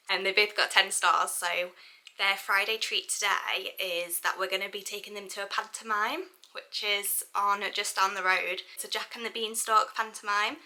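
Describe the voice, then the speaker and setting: Young British female family vlogger, very bright tone, mid pitch, chatty and informal. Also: low-quality recording. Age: young adult. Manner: chatty, informal, thinking aloud. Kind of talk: casual vlog chat.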